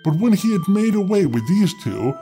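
A man's voice: sits high, big pitch swings, normal speed, warm tone. A man narrating a fairy tale as a storyteller, theatrical and impish in his delivery. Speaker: storyteller, host. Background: music.

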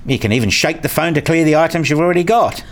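A man's voice: fairly high pitch, expressive, fast talker. A man playing a frustrated, pleading father who tells his own life in a radio play, wordy and earnest. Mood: eager, pleading. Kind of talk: first-person radio play narration and dialogue.

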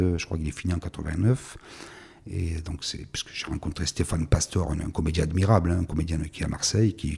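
Middle-aged southern French filmmaker, very low voice, halting delivery full of restarts. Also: male voice, creaky, warm tone, breathy edge. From southern France. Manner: halting, many restarts and fillers. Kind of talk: recounting his career.

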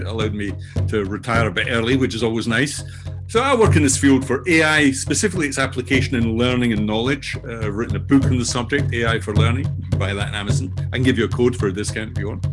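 Older scottish guy talking about himself, lots of uh and chuckles, faint music behind. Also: medium pitch. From Scotland. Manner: rambling, halting, laughs often. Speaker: guest. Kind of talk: casual self-introduction.

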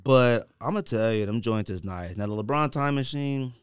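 A man's voice: mid-range voice, moderate pace, clean tone, dry recording, studio quality. An American man, middle-aged, hosting a podcast in casual, slangy chat, telling stories with emphatic repetition. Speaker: podcast host. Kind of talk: casual chat about sneakers. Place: close-miked podcast studio.

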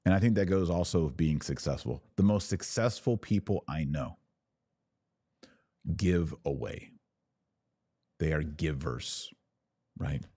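Male podcast host, low mellow voice, earnest and exhorting, talking informally straight to his audience. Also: no echo, clean studio sound. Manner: earnest, exhorting, poses questions and answers them. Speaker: podcast host answering live viewers. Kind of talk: informal livestream advice monologue.

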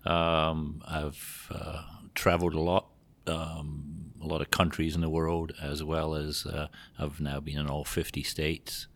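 Middle aged scottish guy being interviewed on a podcast, super deep creaky voice, chatting away. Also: level tone, a little slow, a bit bright. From Scotland. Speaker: podcast guest, general manager. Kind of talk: conversational interview.

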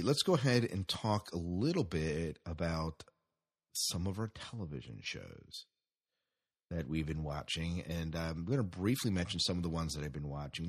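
A man's voice: low-pitched, slightly rough. A man hosting a podcast with casual solo commentary, rambling and hesitant, often repeating his phrases. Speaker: podcast host.

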